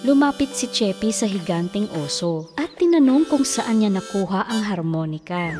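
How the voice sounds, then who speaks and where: A woman's voice: medium pitch, expressive, moderate pace, music in the background. A woman narrating a scripted fairy tale for children.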